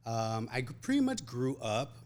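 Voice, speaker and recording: A man's voice: mid-range voice, big pitch swings, normal speed, darkish tone. Laid-back young man, chatty guest. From Mexican-American family, grew up in US. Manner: laid-back, chatty, lots of fillers and laughs. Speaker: guest, content creator and photographer. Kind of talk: casual interview chat.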